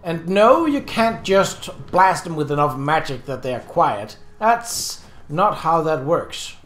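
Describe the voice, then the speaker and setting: A man's voice: a little high, very animated, takes it slowly, a bit bright, roomy sound. A man casually commenting on his game as he plays, chatty and easily distracted, thinking aloud. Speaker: Let's Play host.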